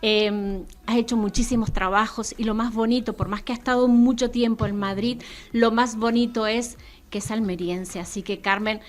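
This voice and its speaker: A woman's voice: a little high, fairly even intonation, normal speed. A woman from Argentina, hosting a radio interview in a chatty, affirming way. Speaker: radio host.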